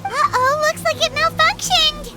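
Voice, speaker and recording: A woman voicing a tiny, excitable, childlike fairy companion with a squeaky voice in a video game. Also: unhurried, loud music behind the voice. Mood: alarmed.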